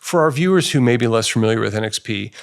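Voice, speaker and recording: A middle-aged man hosting a tech podcast at a middle pitch. He sounds friendly and keen, and a quick laugh often creeps into his talk. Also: lively intonation, gravelly. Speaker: podcast host.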